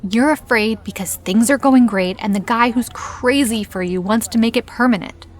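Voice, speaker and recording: A woman's voice: a little high, moderate pace, lightly acted. An actress playing a sassy, protective best friend who mothers and coaxes in an audio drama. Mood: firm, coaxing. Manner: sassy, coaxing.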